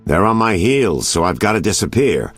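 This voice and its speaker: An actor playing an anxious, hunted detective in a video game, with urgent, clipped delivery. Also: male voice, low-pitched, sing-song, moderate pace, fairly bright tone, breathy edge, faint music behind, exaggerated voice. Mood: tense, urgent.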